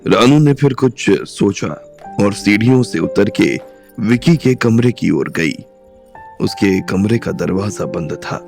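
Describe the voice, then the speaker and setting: Slow gravelly male storyteller. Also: on the low side, darkish tone. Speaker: narrator. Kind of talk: scripted audiobook narration.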